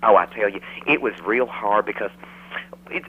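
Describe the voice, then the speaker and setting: A man's voice: fairly low pitch, normal speed, narrow, tinny audio, slight background noise, close to an everyday voice. A man voicing a chatty, folksy middle-aged Southern mother with a Southern US accent who gushes and rambles on a radio call. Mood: gushing. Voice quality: gushing, folksy, rambling, says "y'all". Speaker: radio caller. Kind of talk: phone-in radio chat.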